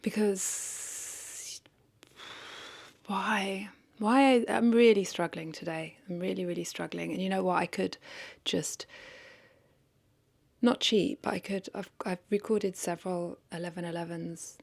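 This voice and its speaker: A woman's voice: on the low side, steady tone, a little slow, vocal fry. A woman talking casually and confessionally to camera as a vlogger, hesitant and reflective, questioning herself and repeating phrases.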